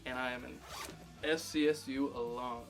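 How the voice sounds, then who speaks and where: A man's voice: mid-range voice, fairly even intonation, normal speed, soft background music. A young man in his twenties, a drummer, telling a rambling story eagerly in a casual interview. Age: 20s.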